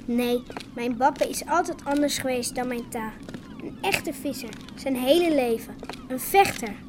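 Spirited village girl, high voice, hopeful, direct, radio play, clear background noise. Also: measured pace. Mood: proud. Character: proud, hopeful, plucky young village girl. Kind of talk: Dutch radio drama acting.

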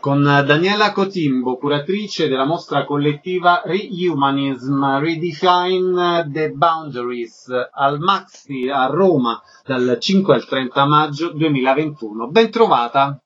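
A man's voice: on the high side, measured pace, few pauses, a bit bright, lo-fi sound, faint music behind. A man hosting a radio interview, putting concise questions and introductions to guests.

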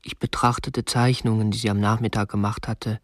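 An actor playing a meek, obsessive young kidnapper who narrates a radio play in a restrained, earnest, stiffly polite way. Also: male voice, lowish voice, flat delivery, fairly quick, no echo, studio quality, lightly acted. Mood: stiff, confessional. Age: young adult. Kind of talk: radio drama narration and dialogue.

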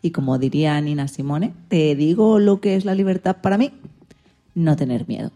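A woman presents a radio program with a low, soft-edged voice. Her delivery is scripted, as a host introducing sections. Faint music sits behind her. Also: normal speed.

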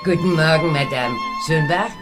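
An actress playing an excitable, superstitious and fretful Egyptian servant woman in a radio drama. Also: low-pitched, normal speed, over loud music, close to an everyday voice. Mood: chatty. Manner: exclamatory, dramatic.

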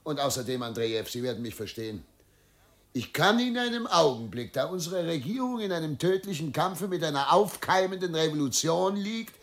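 An actor playing a troubled, middle-aged governor and father in a radio play, dutiful and self-justifying, speaking in a formal, halting way. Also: high-pitched, creaky, fairly bright tone, heavily acted. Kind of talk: German radio play acting.

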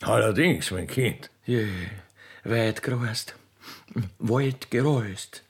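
A man's voice: mid-range voice, takes it slowly, husky. A man playing a genteel, condescending but kindly older nobleman in a radio play, with stately drawl and archaic courtly phrasing. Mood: indulgent.

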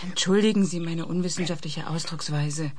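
A woman's voice: sits low, moderate pace. An actress playing a sceptical female interviewer in a radio play, asking in a pointed, challenging manner.

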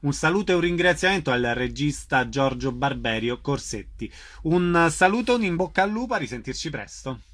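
A man's voice: on the high side, lively intonation, a little fast, few pauses, a bit bright. A man hosting a radio interview about theatre, presenting and asking questions.

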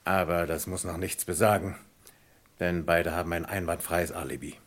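Sceptical, methodical police commissioner for radio crime drama, low-pitched, formal and matter-of-fact. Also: male voice, fairly even intonation, moderate pace, slightly rough. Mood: matter-of-fact, hesitant.

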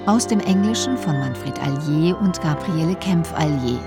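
Low, creaky female announcer over loud music. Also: animated, measured pace. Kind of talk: scripted radio play credits announcement.

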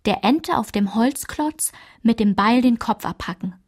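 Higher-voiced, matter-of-fact female narrator. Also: expressive, a little slow, a little mellow. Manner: clipped, list-like, matter-of-fact delivery. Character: matter-of-fact female narrator listing rules and village routines. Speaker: narrator in a radio play. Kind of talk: literary scripted recitation.

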